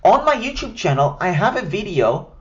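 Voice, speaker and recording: A man's voice: high-pitched, big pitch swings, moderate pace, dull audio. Israeli-accented man teaching step by step. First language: Hebrew. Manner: structured, step-by-step, direct. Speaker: online course coach. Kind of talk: scripted tutorial.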